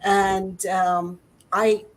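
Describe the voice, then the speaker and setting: Slow-speaking Iranian-accented woman. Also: mid-range voice, flat delivery. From Iran. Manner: measured, earnest, lecture-like. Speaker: panelist. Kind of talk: panel talk over video call. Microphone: video call.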